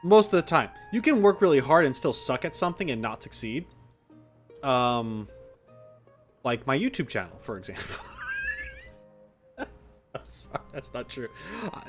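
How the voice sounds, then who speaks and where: Casting: male game streamer, mid-pitched, informal and opinionated commentary over soft game music. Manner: opinionated, teasing, self-deprecating. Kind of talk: casual playthrough commentary.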